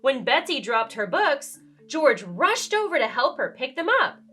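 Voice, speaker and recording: A woman reads a storybook to young children with dramatic emphasis. Her voice is high and bright and she takes her time, over faint music. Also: sing-song, a little slow. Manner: dramatic emphasis, asks the listener questions. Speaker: children's read-aloud storyteller.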